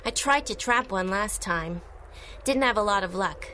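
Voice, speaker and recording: A woman's voice: mid-range voice, expressive, normal speed, sharp tone, a little hiss or hum. A woman playing a confident, playful young time-traveling superhero in a radio drama, earnest with teasing asides.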